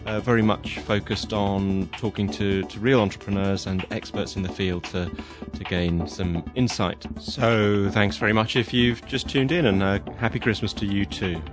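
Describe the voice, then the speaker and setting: A man's voice: on the low side, fairly even intonation, normal speed, music in the background. Chatty man hosting a podcast. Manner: chatty, with frequent fillers and restarts. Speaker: podcast host. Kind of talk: casual podcast narration.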